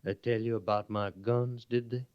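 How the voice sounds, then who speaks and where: An actor playing a bitter, defiant former prisoner turned cavalry trooper, with a Southern drawl and folksy speech, in 1950s Western radio drama. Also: male voice, mid-range voice, flat delivery, normal speed, smooth, lightly acted. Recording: muffled old radio broadcast recording.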